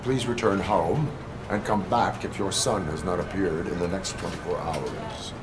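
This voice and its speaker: A very low-voiced robotic police officer, played by a man, stern and by the book. He speaks in flat, clipped, formal phrases over clearly noisy game ambience. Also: moderate pace, natural voice. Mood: stern, impassive.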